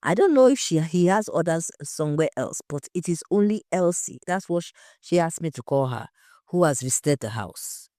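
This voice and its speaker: A woman's voice: deep voice, lively intonation, normal speed, warm tone, no room sound, studio quality. A woman playing a tubby, confident male household cook who gossips frankly in an audio story. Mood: confiding. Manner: assured, gossiping.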